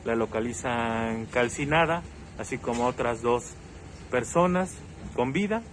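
A man giving a steady, factual live news report on location outdoors, with a few fillers. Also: mid-range voice. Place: outdoors on a city street. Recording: noisy outdoor street recording. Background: street traffic noise.

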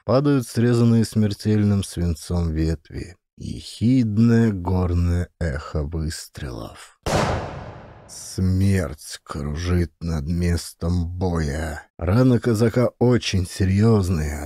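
Mellow male audiobook narrator, dry sound. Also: lowish voice, dry recording. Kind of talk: dramatic scripted narration of an adventure story.

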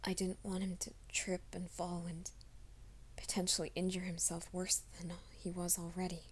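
A woman voicing a troubled young man who hides a werewolf nature, in a soft, hushed ASMR roleplay, often whispering. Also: on the low side, completely flat delivery, moderate pace, slight background noise, lightly acted. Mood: anxious whisper. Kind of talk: fan audio drama, ASMR roleplay.

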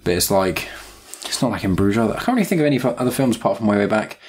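A man's voice: lowish voice, expressive, rapid-fire, a little mellow, slight background noise. A young British man hosting a podcast, chatting casually about films in a rambling way that trails off mid-thought. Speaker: podcast host. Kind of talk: casual film review chat.

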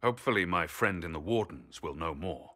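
A man's voice: low voice, expressive, fairly quick, bright, forceful tone. A man playing a grim, dutiful adventurer in a video game, grave and resolute in manner. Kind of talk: dramatic video game acting.

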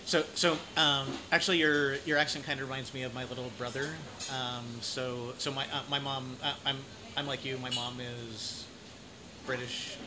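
A man in his forties from Idaho with an American accent, chatting casually as an interviewer in a halting, rambling way. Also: mid-range voice, steady tone. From Idaho, United States. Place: café or restaurant at night. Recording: clearly noisy room ambience.

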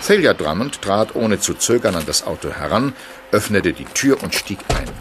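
An actor narrating a radio crime story in a sober, measured and precise storytelling manner. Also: male voice, lowish voice, big pitch swings, music in the background. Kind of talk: scripted narration for radio play.

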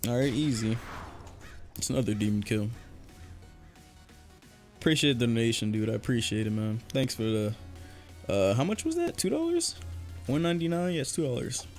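A man's voice: medium pitch, big pitch swings, normal speed, pauses often, darkish tone, faint music behind. A young man chatting casually with viewers while playing a game, joking and laughing, his voice a bit worn from talking for hours. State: voice getting worn from long talking. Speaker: game streamer. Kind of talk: casual live-stream chat while gaming.

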